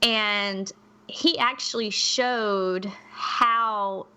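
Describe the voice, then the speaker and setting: A woman's voice: mid-range voice, steady tone, extremely slow, sharp tone, smooth, low-quality recording. A woman co-hosting a podcast, telling stories in a chatty, candid way with frequent asides. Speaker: podcast co-host. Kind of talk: conversational storytelling with notes.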